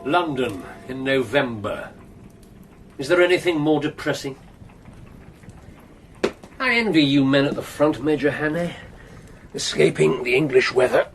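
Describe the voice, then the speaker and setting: An actor playing a weary, shrewd older government official who speaks in a measured, persuasive way. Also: male voice, on the high side, faint music behind. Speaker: senior official.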